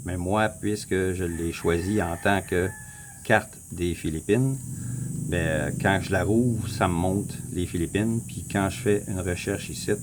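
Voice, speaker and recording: A man with a Québécois accent, casually walking viewers through a map on his screen, rambling, repeating himself and clearing his throat often. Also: sits low, fairly even intonation, unhurried, background noise. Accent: Québécois French. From Quebec. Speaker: vlogger. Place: home in the Philippines.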